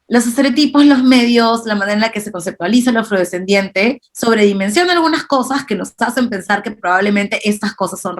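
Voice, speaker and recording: Thoughtful Peruvian woman explaining. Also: mid-range voice. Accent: Peruvian Spanish. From Peru. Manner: thoughtful, explanatory, with frequent tag questions. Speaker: guest. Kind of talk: conversational discussion.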